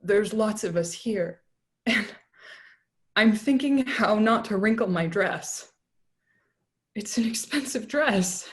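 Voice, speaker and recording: An actor playing a young female election observer, hurt and dazed, speaking haltingly. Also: medium pitch, animated.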